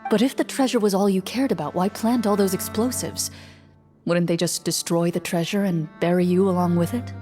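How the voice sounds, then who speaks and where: Woman as a mellow, composed champion duelist. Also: fairly low pitch, faint music behind, natural voice. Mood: questioning. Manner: measured, formal, cool. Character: composed, stern female champion duelist and hunter. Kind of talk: video game voice acting.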